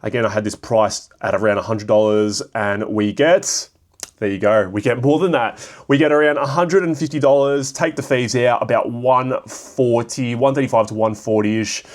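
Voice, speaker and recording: Raspy Australian man with a cold. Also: medium pitch, moderate pace. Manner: rambling, filler-heavy, thinking aloud. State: hoarse from a cold. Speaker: card shop vlogger. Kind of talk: casual solo commentary.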